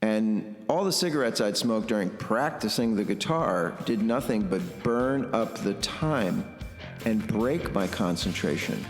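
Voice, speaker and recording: Slow, low-voiced man in heavy reverb. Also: animated. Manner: intense, blunt, swearing, with deliberate pauses. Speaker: podcast host and musician. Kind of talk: reflective personal monologue over music. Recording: roomy echo, long reverb, music underneath.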